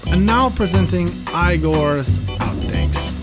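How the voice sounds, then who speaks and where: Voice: mid-range voice, sing-song, slow, over loud music. A performer voicing Igor, an indignant, grudging sidekick who announces a podcast in a theatrical way. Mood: grumbling.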